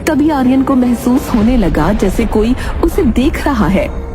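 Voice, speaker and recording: A woman's voice: on the high side, sing-song, a little slow. A woman narrator reading a scripted horror story. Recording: music behind the voice.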